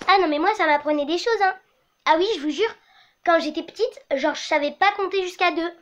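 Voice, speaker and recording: A boastful airhead girl put on by a woman for a comic web sketch. Her voice is high, and she drifts along in vague, halting, self-satisfied talk. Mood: smug, dim. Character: boastful, dim-witted young blonde airhead girl.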